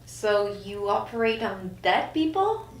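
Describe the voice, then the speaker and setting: A woman's voice: mid-range voice, very animated, a little slow, slight background noise. A woman playing a sceptical, questioning newly dead woman at a script table read. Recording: echoey room, distant mic.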